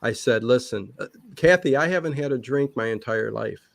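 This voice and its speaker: A man's voice: medium pitch, vocal fry, no room sound. A man in a casual interview chat, answering at length and often breaking off to restart his thoughts. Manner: long-winded, halting with many self-interruptions. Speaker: guest, online show creator.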